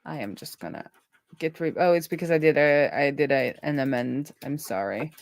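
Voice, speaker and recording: A woman live-streaming as a programmer, thinking aloud in a casual, halting way as she mutters through her work. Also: deep voice. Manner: halting, muttering to herself while working.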